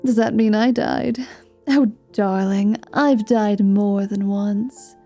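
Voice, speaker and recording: A woman's voice: mid-range voice, steady tone, slow pace, warm tone, faint music behind. A woman voicing a flirty, world-weary female dhampir mercenary in a fantasy ASMR roleplay, teasing and dry with dramatic flair. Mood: sardonic, brooding.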